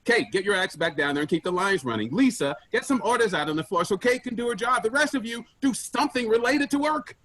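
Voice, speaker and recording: A man's voice: high-pitched, lively intonation, quick pace, few pauses, pushed and bright, no room sound, full character voice. A man playing an indignant, sarcastic, commanding office team leader in a staged play reading. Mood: bossy, indignant.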